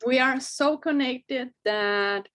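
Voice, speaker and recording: A woman's voice: sits high, slow, fairly bright tone. Cheerful Colombian woman with a slight German tinge. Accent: Colombian Spanish, some German. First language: Spanish. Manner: cheerful, chatty, searches for words. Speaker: guest. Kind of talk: video call interview. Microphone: video call webcam.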